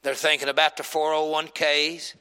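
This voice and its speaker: A preacher doing God wandering looking for church. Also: male voice, fairly high pitch, flat delivery, normal speed, pushed and bright, smooth, long reverb tail. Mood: wry. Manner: wry, folksy musing. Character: God the Father, male, searching for a worthy church.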